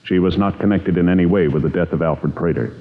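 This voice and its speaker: An American man announcing a scripted 1950s radio programme in a formal, solemn, measured way. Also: sits low. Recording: muffled old radio broadcast, loud music.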